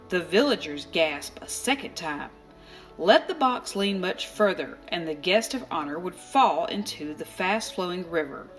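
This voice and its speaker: A woman narrating a children's storybook aloud, expressively and deliberately, with dramatic pauses. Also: lowish voice, a bit bright. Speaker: storybook reader. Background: music.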